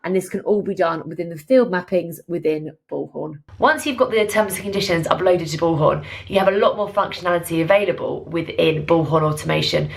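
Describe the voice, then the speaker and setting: Woman doing a software how-to, lowish creaky voice, talks you thru it step by step. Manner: step-by-step explaining. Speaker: software tutorial presenter. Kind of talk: instructional screen walkthrough.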